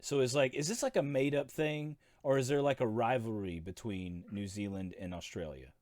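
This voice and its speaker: A man's voice: mid-range voice, fairly even intonation, normal speed, darkish tone, dry recording. A man co-hosting a podcast, asking curious questions and giving short agreeing replies. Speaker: podcast co-host. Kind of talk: casual podcast chat.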